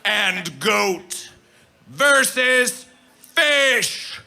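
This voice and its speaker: A man working as a festival emcee, declaiming grandiose mock-prophetic hype from the stage in a drawn-out, theatrical way. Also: sits high, animated, gravelly, pushed and bright.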